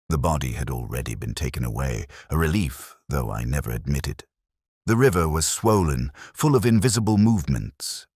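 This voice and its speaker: A man playing a melancholy middle-aged English police detective, narrating in a measured, literary and wistful way as if from a notebook. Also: rumbling low, no echo, studio quality. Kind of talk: scripted first-person dramatic narration.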